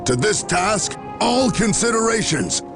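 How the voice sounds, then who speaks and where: A man playing a weary, skeptical and devout general in a game cutscene, speaking in grave, measured military phrases. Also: high-pitched, sing-song, gravelly, over music, heavily acted. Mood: resolute. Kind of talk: scripted game cutscene acting.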